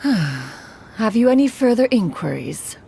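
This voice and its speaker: A woman's voice: medium pitch, sing-song, takes it slowly, creaky, mellow, soft background music, exaggerated voice. A woman voicing a dignified ancient crane immortal in a video game, a caring mentor with formal, archaic, stately diction. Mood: solemn, regretful.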